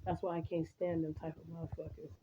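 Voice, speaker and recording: A woman vlogging casually to her viewers, a little hoarse and raspy from a cold, rambling with restarts and blunt asides. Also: deep voice, little pitch movement, quick pace, muffled recording, noisy background. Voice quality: slightly raspy. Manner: blunt and outspoken.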